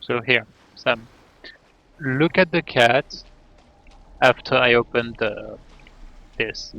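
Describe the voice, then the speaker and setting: A man chatting casually on a live game stream in a halting, muttering way. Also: mid-range voice, creaky, no room sound. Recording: dull lo-fi mic, faint game music.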